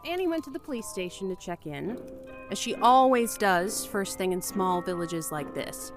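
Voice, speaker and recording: A woman's voice: medium pitch, expressive, moderate pace, close to an everyday voice. A woman acting in an audio drama as a terrified investigator who anxiously stammers her way through a story. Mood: wry, tense storytelling. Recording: music behind the voice.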